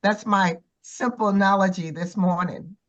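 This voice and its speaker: A woman's voice: mid-range voice, fairly even intonation, moderate pace. Slightly muffled woman in casual discussion. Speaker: church discussion participant.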